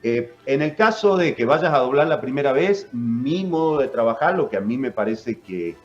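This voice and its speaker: A man's voice: medium pitch, expressive, normal speed, lo-fi sound, soft background music. Argentine man with a northern-Córdoba accent. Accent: Argentine, northern mixed with Córdoba. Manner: explanatory, with frequent fillers and "¿no?" tags. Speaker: voice actor and announcer. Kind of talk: radio interview guest.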